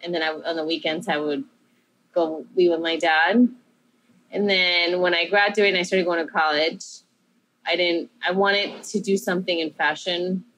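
A woman's voice: lowish voice, flat delivery, normal speed, fairly bright tone, a little hiss or hum, poor audio. A woman from Peru, a chef and interview guest, telling her story in a rambling, self-correcting, upbeat way.

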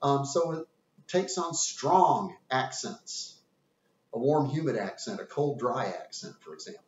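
Southern man lecturing with lively pitch. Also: a little slow. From American South. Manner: animated, folksy, gesturing with hands. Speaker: teacher. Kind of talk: classroom lecture. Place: indoor room with whiteboard. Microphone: camera at a distance. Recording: roomy, distant, dull webcam sound.

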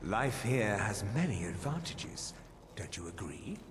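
An actor playing a scheming, courtly vampire in a fantasy game, speaking in a formal, measured, insinuating way. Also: male voice, on the low side, very animated, noisy background. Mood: smooth, persuasive. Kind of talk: fantasy video game character acting. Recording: long reverb, game music behind.

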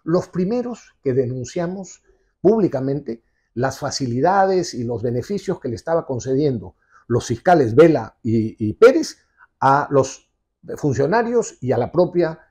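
A man in his sixties delivers forceful opinion commentary. His voice is dark, gravelly and husky. He talks with emphatic insistence, recorded close on a lapel mic in an office. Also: mid-range voice. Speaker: TV show host and commentator. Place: indoor office with leather sofa.

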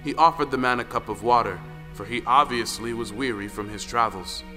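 A man's voice: mid-range voice, a little fast, pushed and bright. Echoing male storyteller over music. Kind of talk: scripted folktale narration in an audioplay. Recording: long reverb, clear music underneath.

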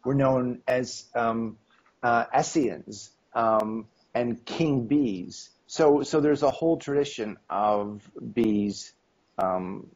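A man's voice: medium pitch, a little mellow. A middle-aged man with a slight cold, talking on a video call as an interview guest, rambling with many ums and acting out quoted dialogue. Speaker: interview guest, esoteric history author. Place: home room on video call. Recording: dull lo-fi video call audio.

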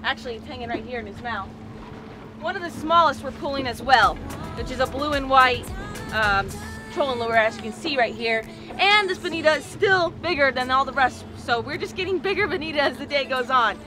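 An American woman in her thirties chatting casually and excitably as a fishing host, recorded outdoors on a small boat. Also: high-pitched, a little fast, over music. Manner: excitable, exclamatory, informal. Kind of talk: casual vlog chat, explaining to viewers. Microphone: action camera at arm's length or a few feet away.

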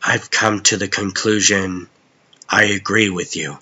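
A man playing a calm, weary costumed vigilante in a comic dub, earnest, understated and matter-of-fact. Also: on the low side, a little slow, slightly rough, lo-fi sound, heavily acted. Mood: resigned.

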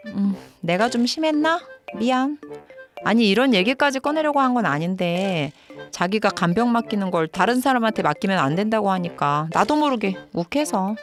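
A woman's voice: medium pitch, lively intonation, moderate pace, natural voice. A woman playing a practical working wife and daughter-in-law, pointed and matter-of-fact, in a dramatized story video. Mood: conciliatory. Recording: music behind the voice.

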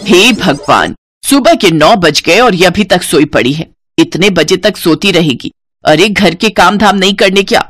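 A woman's voice: fairly low pitch, animated, unhurried. A woman voicing a harsh older stepmother who nags and scolds in a cartoon story. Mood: scolding. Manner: sharp-tongued, nagging.

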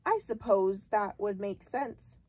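A woman's voice: mid-range voice, lively intonation, slow, darkish tone, breathy edge, no echo. A woman doing a small, timid young girl. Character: small frightened English girl, polite but spirited.

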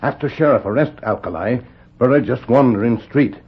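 A husky, stoic scout from an old radio western. He talks in clipped broken English with short grunts, loyal and plain, on a dull vintage recording. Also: medium pitch, brisk. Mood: matter-of-fact.

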